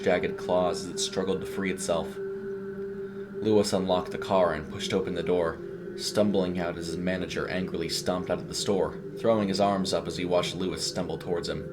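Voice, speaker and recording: A man reading a scripted horror short story as its narrator. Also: sits low.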